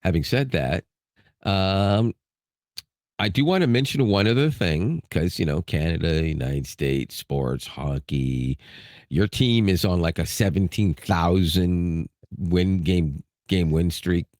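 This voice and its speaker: A man co-hosting a casual podcast, animated and teasing, talking sports and tech. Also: slow, no room sound, clean studio sound. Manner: animated, teasing, jumps up in pitch when ribbing. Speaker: podcast co-host. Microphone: studio microphone.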